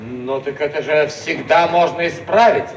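An actor playing an anxious, obliging theatre director who speaks apologetically and hesitantly, in a theatrical radio comedy style. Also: male voice, a little high, unhurried, creaky, lo-fi sound. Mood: ingratiating. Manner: apologetic, hesitant, eager to please. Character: anxious, obliging male theatre director placating important officials. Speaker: stage director character. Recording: echoey, distant, noisy old radio recording.

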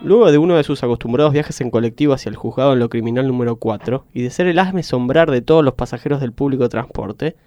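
A man's voice: medium pitch, quick pace, few pauses, mellow, no room sound, muffled recording, soft background music, lightly acted. A man playing a formal narrator of a comic radio serial, reading scripted narration.

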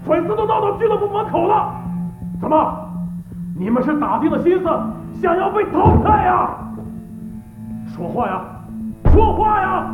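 A man's voice: very high-pitched, very animated, normal speed, long pauses, narrow, tinny audio, full character voice. A man playing a stern, hot-tempered esports club manager in an audio drama. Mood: furious. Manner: emphatic, scolding or formal addresses. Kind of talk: Chinese audio drama acting. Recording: loud music and crowd behind.

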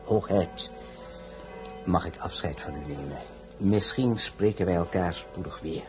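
An actor playing a shrewd, polished excellency in a period radio drama, formal and pointed, with a husky voice. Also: male voice, deep voice, unhurried, music in the background. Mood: grave, measured.

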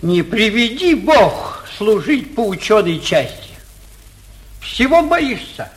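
An actor playing a timid, put-upon provincial school inspector, plaintive and fretful, in 1940s radio comedy style. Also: male voice, high-pitched, sing-song, slow pace, a little hiss or hum, exaggerated voice, poor audio.

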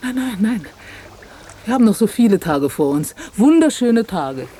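Mellow, wistful, dreamy woman. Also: on the high side, big pitch swings, moderate pace, slight background noise. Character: wistful, dreamy woman biologist, gently contrary. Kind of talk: German radio drama dialogue.

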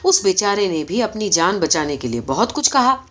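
A woman narrates a story from a script with expressive delivery. Her pitch is mid-range and moves in lively swings. The recording is a little dull and lo-fi. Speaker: audiobook reader.

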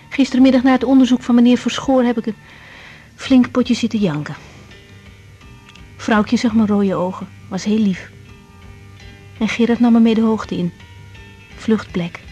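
A woman's voice: on the high side, warm tone, slightly rough. An actress playing a young, overworked woman doctor in a radio drama, speaking candidly, colloquially and with emotion. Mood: distressed confiding. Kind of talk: 1980s Dutch radio drama acting.

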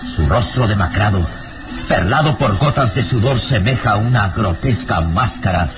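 A man's voice: lowish voice, animated, normal speed. A man narrating a radio drama in an ominous, theatrical style, with music behind.

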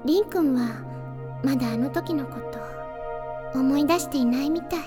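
An actress playing a sweet, devoted and bashful teenage girl in an anime audio drama, gentle and earnest. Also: sits high, moderate pace, natural voice. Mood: wistful, tender. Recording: music behind the voice.

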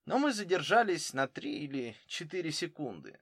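A man's voice: on the high side, very animated, normal speed, fairly bright tone, slightly rough, dry recording, clean studio sound, full character voice. Man reading as careful German footballer witness. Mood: careful, cooperative. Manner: careful, literal answers. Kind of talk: audiobook character dialogue.

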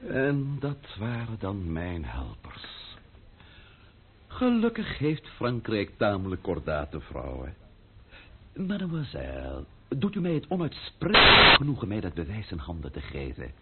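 An actor playing a proud, sardonic French count in an old-fashioned Dutch radio drama, speaking with ornate, ironic politeness. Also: male voice, on the low side, wildly swooping pitch, unhurried, narrow, tinny audio, a little hiss or hum. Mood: urbane, exasperated.

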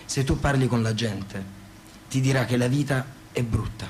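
A man's voice: mid-range voice, moderate pace, a little mellow, slight background noise, lightly acted. A man acting in radio theatre as a dreamy, boastful working-class poet. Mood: weary, reflective.

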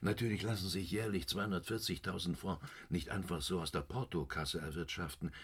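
A man's voice: deep voice, fairly quick, husky, full character voice. A man acting a fearful, secretive outgoing opera director who gives formal, urgent warnings in a German radio drama. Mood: grave warning.

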